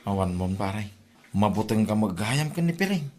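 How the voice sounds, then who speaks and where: A man's voice: on the low side, big pitch swings, moderate pace, darkish tone. Man playing a teasing Ilocano drinking buddy. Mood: mocking. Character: needling drinking buddy, mocking his henpecked pal. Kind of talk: comedy drama podcast acting.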